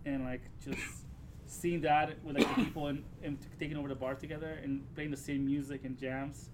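A man's voice: on the high side, steady tone, normal speed, background noise. A man chatting casually, laid-back and slangy, with some swearing. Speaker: podcast participant. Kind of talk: casual chat, storytelling.

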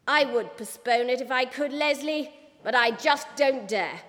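A woman's voice: high-pitched, unhurried, fairly bright tone, in a large hall. A woman voicing a formidable, impatient female newspaper boss, brusque and emphatic, in an audiobook. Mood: stern. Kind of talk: audiobook character voice.

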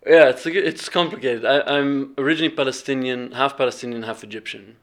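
A man with a middle-pitched voice talks in a relaxed interview. He is reflective and halting, often breaking off and restarting as he searches for words. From England, raised partly in Bahrain. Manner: hesitant, reflective, many restarts and ums. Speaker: filmmaker and fellowship alumnus.

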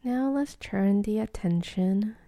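Soft half-whispering meditation lady, really dark mellow tone, bit of fry, calm and gentle. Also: mid-range voice, no room sound. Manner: calm, unhurried, gentle, with long pauses. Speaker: meditation guide. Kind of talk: guided meditation.

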